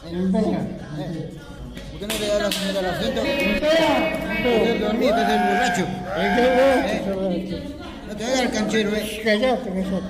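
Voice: medium pitch, a little slow, long pauses, lightly acted. Amateur actor as pushy streetwise bus passenger. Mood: pushy, scolding. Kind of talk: amateur radio drama. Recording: echoey large room, music and crowd noise.

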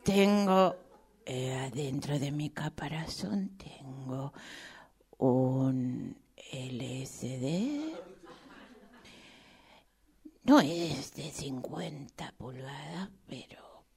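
Woman doing a slow, creaky, lonely old tortoise. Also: rumbling low, lively intonation, a little mellow, exaggerated voice. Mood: confiding. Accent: Rioplatense Spanish. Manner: halting, plaintive, rambling, breathy drops into near-whisper. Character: lonely old male tortoise abandoned at a closed zoo. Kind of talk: improvised radio-theatre character monologue.

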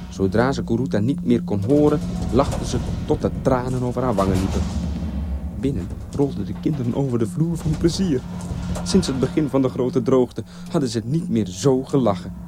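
A man narrating a scripted folktale as a storyteller, with expressive, theatrical delivery. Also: mid-range voice.

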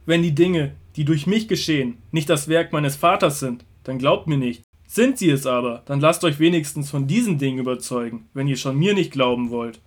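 A calm male teacher and shepherd figure, played by an actor in a biblical audio drama. The voice is a little higher than average for a man. He speaks in measured, earnest declarations with quiet authority. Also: close to an everyday voice. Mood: firm, challenging. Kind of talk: scripted Bible audio drama.

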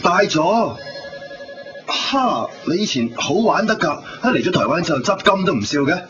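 A fairly high-pitched male voice with a Hong Kong accent, as a cheeky, gossipy young pal in a radio play. He banters and exclaims in colloquial style. The sound is muffled, with clear background noise. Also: big pitch swings, normal speed. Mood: nagging, playful. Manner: jokey, teasing, exclamatory. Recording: muffled 1990s radio recording, loud ambient noise.

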